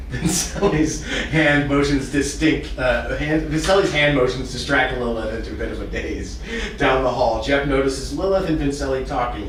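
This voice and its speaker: A man's voice: mid-range voice, a bit bright, slight background noise. A man reading the stage directions of his script aloud at a casual table read, often stumbling and restarting. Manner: casual, stumbling over words. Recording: echoey room, distant mic.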